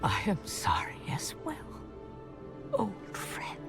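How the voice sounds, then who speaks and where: A woman playing an ancient, knowing woman of mythic power in a game cutscene, speaking in a grave, measured, sorrowful way. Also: medium pitch, huge pitch swings. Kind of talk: video game cutscene drama. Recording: loud music under the voice.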